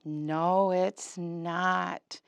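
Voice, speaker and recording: A woman's voice: on the low side, little pitch movement, extremely slow, vocal fry, clear, resonant tone, no room sound, voice pushed down, exaggerated voice. A woman voicing a friendly boy puppet in a children's show, earnestly asking simple questions and explaining things to young children.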